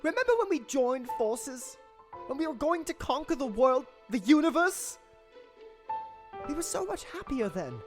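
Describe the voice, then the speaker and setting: A woman's voice: sits high, big pitch swings, normal speed, music in the background. A woman playing a weary but fierce, obsessed adventurer in a comedic audio drama, speaking with dramatic passion. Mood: weary, tender. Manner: dramatic, impassioned.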